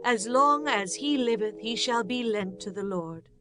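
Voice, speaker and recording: A woman's voice: on the high side, measured pace, close to an everyday voice. A woman playing a devout, earnest wife of ancient Israel in a dramatized scripture reading, speaking reverently and with measure. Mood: grateful. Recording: music bed behind the voice.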